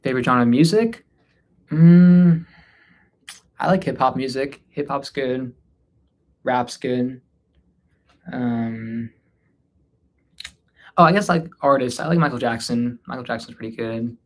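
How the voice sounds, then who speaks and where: A man from North India hosts an unscripted livestream, fielding questions in a casual, mid-pitched voice. He sounds tired, speaking informally and hesitantly, and his sentences often trail off. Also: slow, pauses often. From North India, Delhi area. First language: Hindi, mixed with English. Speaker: livestream host answering viewer questions.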